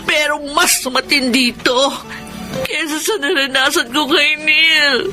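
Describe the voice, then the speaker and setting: A woman's voice: sits high, fairly even intonation, slow pace, sharp tone, soft background music, heavily acted. An actress playing a heartbroken young woman in a Filipino radio drama, tearful and melodramatic. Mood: anguished. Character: heartbroken young woman betrayed by boyfriend and best friend.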